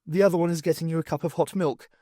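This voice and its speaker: A man's voice: on the high side, steady tone, very fast, dark tone, no room sound, clean studio sound, close to an everyday voice. A man voicing a small, eager, kindly fairy-tale dwarf in an audiobook. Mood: eager, chirpy.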